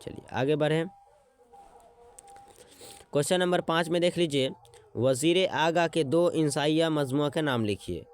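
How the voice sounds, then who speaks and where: Man, teacher, exam-prep lesson, smooth clear voice, repeats words slowly. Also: fairly high pitch. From Bihar. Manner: repeats words slowly while writing, checks in with "है ना".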